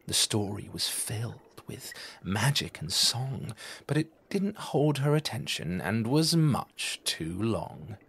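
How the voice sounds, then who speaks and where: Slow, expressive male storybook reader, slightly husky. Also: lowish voice. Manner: deliberate, theatrical storytelling. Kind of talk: expressive children's story narration.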